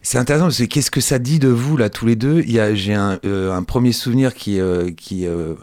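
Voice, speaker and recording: A man hosting a conversational arts interview, speaking in halting, digressive phrases as he feels his way to each question. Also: medium pitch, darkish tone. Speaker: radio show host interviewing guests.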